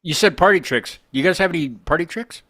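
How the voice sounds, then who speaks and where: A man's voice: a little high, animated, fast talker, sharp tone, no room sound, clean studio sound. A man hosting a casual chat, joking and rambling as he goes. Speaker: podcast host interviewing a band.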